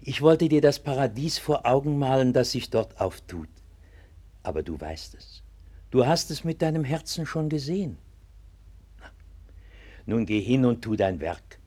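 A solemn, husky-voiced pastor. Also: male voice, mid-range voice, big pitch swings, a little slow, long pauses, warm tone. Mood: solemn, consoling. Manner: earnest, solemn, preaching. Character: devout, steadfast pastor consoling his flock in a plague year. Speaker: actor in a radio play. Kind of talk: 1960s German radio play acting.

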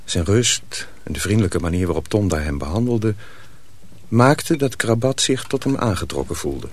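A man narrating a scripted story dramatically, with a deliberate and suspenseful delivery. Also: lowish voice, lively intonation.

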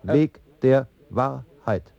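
An actor playing a solemn, idealistic male filmmaker proclaiming a manifesto in a radio play, with weighty pauses. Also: medium pitch, gravelly, lo-fi sound.

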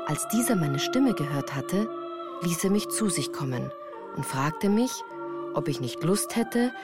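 A woman playing a melancholy narrator who recounts her hard youth in a measured, earnest radio drama style. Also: low-pitched, lively intonation, loud music behind the voice. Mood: reflective, earnest.

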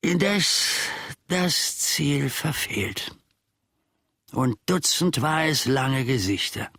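An actor playing a disgruntled, garrulous old manservant, grumbling and musing in a theatrical radio drama monologue. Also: male voice, fairly high pitch, lively intonation, very slow, bright, forceful tone, no room sound, studio quality, exaggerated voice. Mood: mocking. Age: older.

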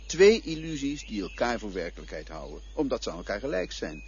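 A man playing a calm, cryptic male guide who speaks of the dead in a patient, lecturing way, in a radio play. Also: fairly low pitch, brisk, slight background noise. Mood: mocking. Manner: patient, lecturing, quietly mocking. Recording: dull lo-fi old radio recording.

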